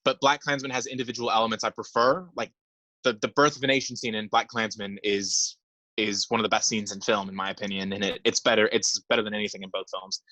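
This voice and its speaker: A man's voice: lowish voice, fairly even intonation, fast, pushed and bright, dry recording, rough recording. A young man co-hosting a casual film podcast, talking with enthusiasm and often repeating words as he gathers his thoughts. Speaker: podcast co-host.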